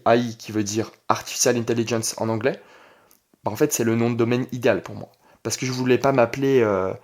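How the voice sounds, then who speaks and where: Young adult male, medium pitch. Online creator delivering an informal, emphatic solo vlog with repeated key phrases. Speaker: online entrepreneur and video creator. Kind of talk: casual vlog monologue.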